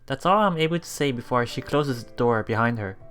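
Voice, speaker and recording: A let's play host casually commenting on a visual novel and reading its lines aloud in a halting, chuckling way. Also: mid-range voice, animated, a bit bright, clean tone. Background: visual novel background music.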